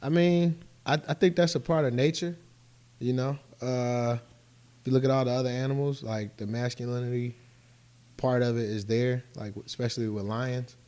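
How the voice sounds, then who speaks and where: Mellow-voiced man in his thirties. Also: medium pitch, steady tone, normal speed, clean tone. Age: 30s. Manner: casual, lots of "like" and "you know". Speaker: ironworker, panel guest. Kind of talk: panel discussion.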